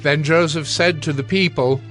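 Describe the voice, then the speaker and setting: A man's voice: fairly high pitch, fairly even intonation, normal speed, a bit bright, soft background music. A man reading scripted Bible narration for an audio drama.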